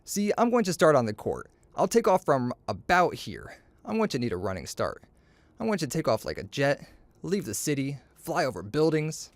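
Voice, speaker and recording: Animated man reading a story aloud. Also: fairly high pitch, moderate pace. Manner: expressive, playful, boastful delivery of dialogue.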